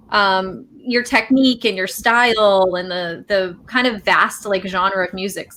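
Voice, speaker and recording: A woman hosting an interview in a bright, forward voice. She sounds eager and encouraging, often pausing on fillers as she works toward her questions. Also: medium pitch. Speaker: interview host. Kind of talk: casual interview chat.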